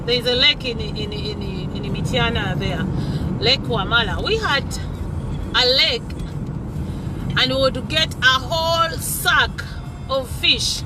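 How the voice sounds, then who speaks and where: A Ugandan woman in her thirties hosting a vlog, talking passionately about society, repeating words as she searches and slipping into Luganda phrases. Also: high voice. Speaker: vlogger host. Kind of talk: earnest social commentary monologue. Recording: loud car and road noise.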